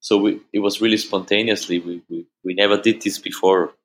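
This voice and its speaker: A man from Austria whose first language is German, speaking English with an Austrian German accent as an interview guest, halting and repeating words, often laughing. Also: fairly low pitch, fairly even intonation. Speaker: musician guest. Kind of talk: casual interview answers.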